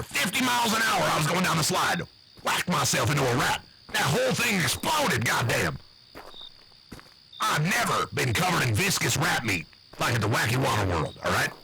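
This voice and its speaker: A man's voice: on the high side, creaky, background noise. A man voicing a blustery, nervous backwoods uncle with an American accent, rambling and swearing in an improvised comic monologue. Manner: rambling, folksy, profane, excitable.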